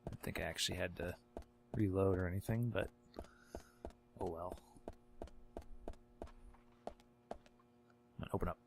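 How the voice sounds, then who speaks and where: Low, gravelly man commenting on a game. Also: fairly even intonation, measured pace, pauses often, a little hiss or hum. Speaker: gamer recording a playthrough. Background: video game music and audio.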